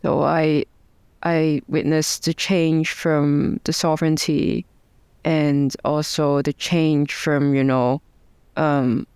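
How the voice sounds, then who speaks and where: Slow, gravelly Hong Kong-accented woman. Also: deep voice, a little mellow, no room sound, studio quality. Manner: hesitant, many ums and restarts. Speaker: guest, democracy advocate. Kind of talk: interview answers.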